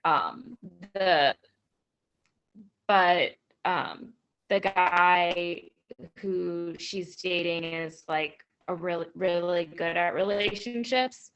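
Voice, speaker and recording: Very slow, smooth, lowish-voiced woman. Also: steady tone, fairly bright tone. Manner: hesitant, rambling delivery. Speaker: background actress and writer. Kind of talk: casual self-introduction. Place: video call. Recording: dull, lo-fi video-call audio.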